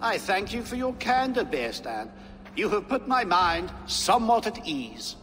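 A sceptical Saxon nobleman in a game. His high voice rises and falls sharply, formal and pointed, echoing as in a hall. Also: sing-song, measured pace, a bit bright, faint music behind, exaggerated voice. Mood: grudging. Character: indignant, sceptical Saxon thane challenging his lord. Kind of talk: video game cutscene acting. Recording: echoing stone-hall reverb.

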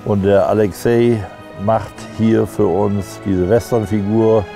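Halting middle-aged man, outdoors. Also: lowish voice, fairly even intonation, gravelly, warm tone, soft background music. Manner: halting, many fillers. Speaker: event organizer. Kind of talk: casual interview. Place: outdoors at a woodcarving site.

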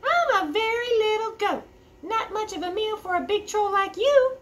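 A woman voicing a tiny, meek but clever young male goat in a children's storybook reading, squeaky and pleading. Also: expressive, moderate pace, a little hiss or hum. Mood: coaxing. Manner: squeaky, soft-spoken pleading. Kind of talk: children's storybook read-aloud.

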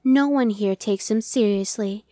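A woman's voice: medium pitch, measured pace, dark tone, no room sound, clean studio sound, lightly acted. A woman voicing an impatient, sardonic female demon scout in an ASMR roleplay, talking in a conversational, dismissive way. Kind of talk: ASMR roleplay, one-sided dialogue.

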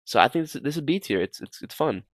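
A man's voice: mid-range voice, lively intonation, fast talker, no echo, studio quality. A young man giving casual, rambling reaction commentary as a host, often repeating his phrases. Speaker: host ranking horror videos.

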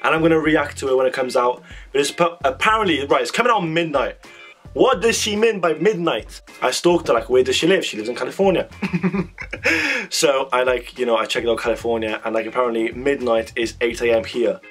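A hoarse young man chatting excitedly in a small bedroom while reacting to a song, talking into a webcam with lots of "like" and quick giggles. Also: medium pitch, soft background music. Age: young adult. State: hoarse, voice strained from screaming. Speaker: music reaction vlogger. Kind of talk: casual reaction chat. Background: song playing quietly.

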